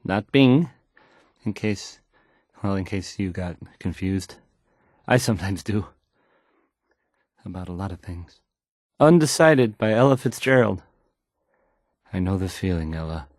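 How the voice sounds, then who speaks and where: Casting: timid young game-world DJ, anxious and awkward, halting stammer, pitch jumping all over, dry sound. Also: male voice, dry recording. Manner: stammering, hesitant, nervous, trailing off. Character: timid, awkward young male radio host, anxious and insecure.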